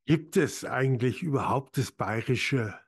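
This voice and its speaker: A man's voice: medium pitch, animated, unhurried, slightly rough. A man hosting a podcast, reading introductions and putting prepared questions to a guest. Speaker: podcast host, interviewer.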